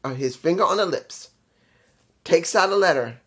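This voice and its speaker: Congested, nasal man with a slightly high voice, casual rambling wrestling reviewer. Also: expressive, normal speed, a bit bright. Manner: rambling, with restarts and stumbles.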